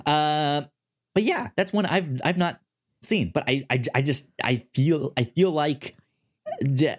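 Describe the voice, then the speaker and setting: A man co-hosting a movie podcast, talking casually with a halting, stammering delivery that often repeats words. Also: fairly high pitch. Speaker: podcast co-host. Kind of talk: casual chat pitching films on a movie podcast.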